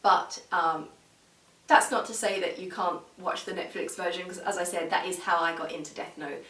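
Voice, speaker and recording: Female reviewer, measured and conversational, lowish pitch, recorded at a distance from the microphone. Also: fairly even intonation, a bit bright. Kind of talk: casual video review. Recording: echoey room, distant microphone.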